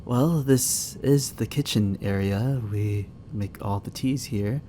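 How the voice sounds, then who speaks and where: A man's voice: on the low side, slow, mellow, slight background noise. A young man acting a shy, flustered barista who is secretly an agent in an ASMR roleplay drama, hesitant, stammering and apologetic. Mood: sheepish. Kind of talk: ASMR roleplay, acted dialogue spoken to the listener.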